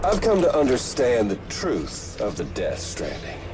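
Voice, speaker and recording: An actor playing a gloating, mocking terrorist villain in a video game, with a taunting, drawling theatrical delivery. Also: male voice, medium pitch, huge pitch swings, unhurried, music in the background. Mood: smug menace. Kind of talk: video game cutscene acting.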